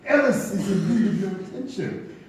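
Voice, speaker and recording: Suave, silky villain, high-pitched and swooping. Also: male voice, animated, normal speed, a little mellow. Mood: oily politeness. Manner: florid, mock-courteous, silky speech. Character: suave, menacing male gambler and killer. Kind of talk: live stage radio-play acting. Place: theater stage before an audience. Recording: echoey theater, distant mic, background noise.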